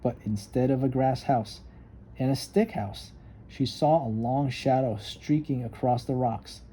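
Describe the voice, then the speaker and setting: Very mellow man reading a children's story. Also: medium pitch, a little slow, a little hiss or hum, low-quality recording. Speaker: storybook reader. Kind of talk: read-aloud children's story narration.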